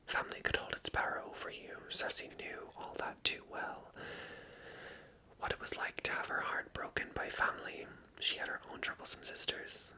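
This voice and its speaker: A person reading a story aloud as ASMR narration in a hushed, unvoiced whisper. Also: rough recording. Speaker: ASMR reader and channel host.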